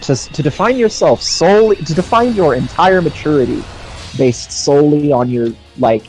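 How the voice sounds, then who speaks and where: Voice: medium pitch, lively intonation, normal speed, a little mellow, faint music behind, poor audio. A streamer chatting casually, rambling and emphatic in giving opinions. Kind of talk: casual chat on a game livestream.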